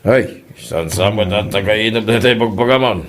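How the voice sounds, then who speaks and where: A low male voice with an Ilocano Filipino accent gives us a teasing, easygoing older village husband, acted out by a man. The delivery is conversational comedy-drama dialogue, loose and playful. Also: moderate pace, a bit bright.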